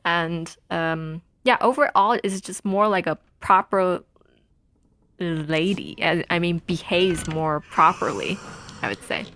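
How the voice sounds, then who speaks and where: A woman in her twenties from Taiwan, chatting and telling stories while playing a video game, rambling with many pauses. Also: lowish voice, animated, fairly bright tone, a little hiss or hum. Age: 20s. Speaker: let's play gamer.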